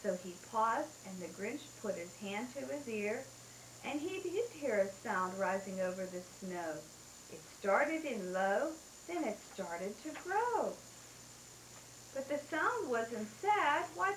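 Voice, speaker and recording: A woman's voice: fairly high pitch, very animated, takes it slowly, fairly bright tone, roomy sound, slight background noise, low-quality recording. A mother reading a rhyming bedtime story aloud in a sing-song way, taped at home.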